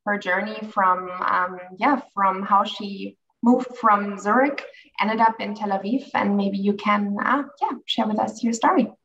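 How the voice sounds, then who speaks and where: A woman's voice: mid-range voice, measured pace, a bit bright. A woman moderating an online session, friendly and hesitant, with many ums. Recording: dull, distant video call audio.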